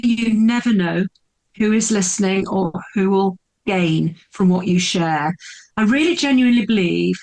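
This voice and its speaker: A middle-aged woman, a podcast guest telling her story in an earnest, emphatic way, often repeating words for effect. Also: medium pitch. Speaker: podcast guest, speaker and coach. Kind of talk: candid storytelling interview.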